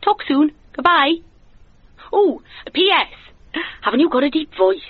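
A woman's voice: high-pitched, unhurried, no room sound. An actress playing a chatty, guileless woman in her thirties leaving an earnest comic phone message through a telephone filter. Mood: eager, chatty. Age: 30s. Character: chirpy, naively eager woman in her thirties leaving voicemail. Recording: tinny dry phone line.

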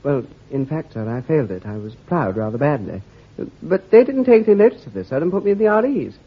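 A man's voice: on the high side, sing-song, quick pace, husky, exaggerated voice. An actor in his twenties playing a bumbling, nervous young army lieutenant who stammers and says sir constantly. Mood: flustered. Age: 20s. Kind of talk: radio drama acting. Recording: dull lo-fi radio recording.

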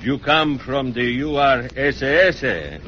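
A man's voice: medium pitch, animated, slow pace, a bit bright, a little hiss or hum. An actor playing a suspicious Mexican policeman who interrogates in broken English with a heavy Mexican Spanish accent. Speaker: police official. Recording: dull lo-fi radio drama.

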